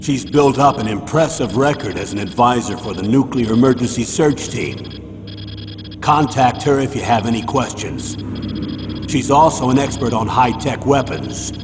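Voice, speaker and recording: An actor playing a stern, middle-aged military commander giving a measured, authoritative briefing in a video game. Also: male voice, mid-range voice, lively intonation, background noise, natural voice. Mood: grave, measured. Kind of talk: dramatic video game voice acting. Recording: long reverb, music behind. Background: music.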